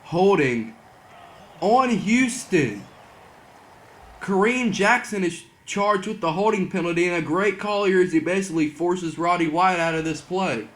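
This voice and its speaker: A young man doing excited mock broadcast commentary over a football video game, saying he is losing his voice. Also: high-pitched, a little slow. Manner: excitable play-by-play, rising to near-shouts on big plays. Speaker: gaming channel host doing play-by-play.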